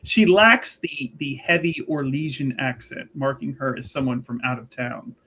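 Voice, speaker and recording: A man's voice: medium pitch, moderate pace, sharp tone, husky. A man playing a tabletop roleplaying game, chatting casually and agreeably as he improvises bits of the story. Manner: chatty, agreeable, often echoing the other speaker.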